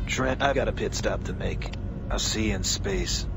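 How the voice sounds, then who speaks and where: An actor playing a male space security officer who gives brisk, matter-of-fact orders in a video game. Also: vocal fry. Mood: calm. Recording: game music and engine rumble behind.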